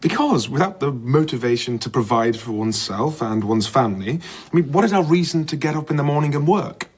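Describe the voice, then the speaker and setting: An actor playing a courteous, sceptical and curious scholar-diplomat in an audio drama, articulate and conversational in debate. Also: male voice, mid-range voice, lively intonation, brisk, slightly rough, no room sound.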